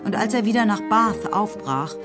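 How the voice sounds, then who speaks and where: A woman narrating a radio play in a measured, lightly ironic manner. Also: medium pitch, animated. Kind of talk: scripted literary narration. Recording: narration over music.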